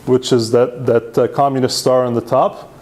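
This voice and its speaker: A man presents to a meetup crowd. He is self-deprecating and casual, often tags his points with a quick "right?" and restarts his sentences as he goes. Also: medium pitch, level tone, a little mellow. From Serbia. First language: Hungarian. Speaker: presenter at user group meetup. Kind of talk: conference talk with slides.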